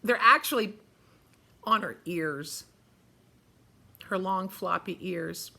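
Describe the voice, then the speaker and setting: Bright-voiced woman reading to children, unhurried. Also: medium pitch, gravelly. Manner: expressive, dramatic, addresses young listeners directly. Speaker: story-time reader for children. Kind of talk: read-aloud children's storytelling with chatty asides.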